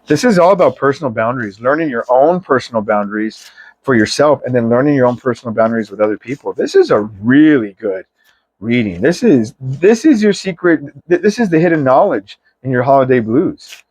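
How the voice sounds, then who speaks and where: A man's voice: mid-range voice, expressive, fairly quick, darkish tone, husky, poor audio. A man reads tarot for his viewers in an informal, chatty style, giving an emphatic pep talk with slang and mild swearing. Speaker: tarot reader.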